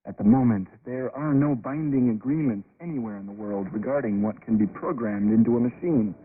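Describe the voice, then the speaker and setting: A man's voice: mid-range voice, brisk. A man delivering scripted commentary in a radio broadcast. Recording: tinny shortwave radio, heavy static.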